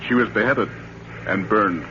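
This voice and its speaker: An actor playing a genial doctor who relishes old murder cases, musing and drily amused, in 1940s radio thriller style. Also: male voice, lowish voice, measured pace, close to an everyday voice. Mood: musing, relishing. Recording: noisy, dull old radio recording.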